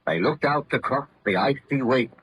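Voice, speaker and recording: A man's voice: mid-range voice, animated, fairly bright tone, natural voice. A man narrating an old radio drama as a formal, grave announcer. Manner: formal, measured. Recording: noisy old radio recording.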